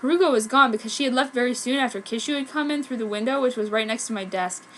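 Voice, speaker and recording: A woman's voice: fairly high pitch, fast, a little hiss or hum. A woman giving a theatrical amateur reading of fan fiction. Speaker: amateur fanfiction reader.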